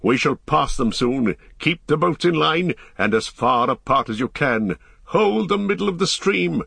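A man voicing a proud, solemn ranger-king in a storybook reading, with a stately, declamatory delivery and a gravelly voice. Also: medium pitch, flat delivery, a little slow, sharp tone, no room sound, studio quality, full character voice. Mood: commanding.

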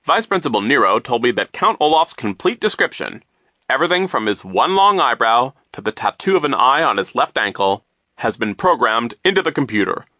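A man's voice: mid-range voice, big pitch swings, brisk, sharp tone, no room sound, clean studio sound, pitched above the performer's own voice. A man voicing a pompous, oblivious banker in an audiobook, with a hearty, self-satisfied and emphatic way of talking. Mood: self-satisfied, reassuring. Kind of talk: audiobook character voice.

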